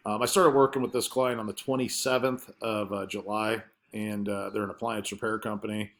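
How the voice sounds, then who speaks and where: A man with a somewhat low-pitched voice presents marketing work in an informal screen-share style. He explains things conversationally, feeling his way a little hesitantly from point to point. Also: little pitch movement, fairly quick, fairly bright tone.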